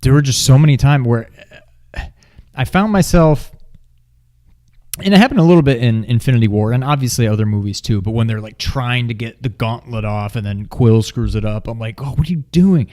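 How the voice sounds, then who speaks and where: A man co-hosting a movie review in a casual chat, with informal, halting phrasing. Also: mid-range voice, mellow. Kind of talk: casual chat, film discussion.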